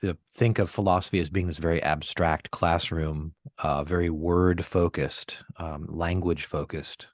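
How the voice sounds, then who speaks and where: Deep-voiced man asking long questions. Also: fairly even intonation, moderate pace, few pauses, no room sound, clean studio sound. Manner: long winding questions, hesitant repeats. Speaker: podcast host. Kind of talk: academic interview questions.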